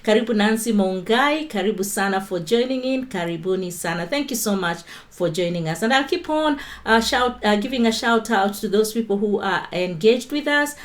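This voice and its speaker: Kenyan woman, livestream host on her phone, chatty and unhurried, mid-pitched voice. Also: expressive. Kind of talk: casual livestream talk with Bible teaching.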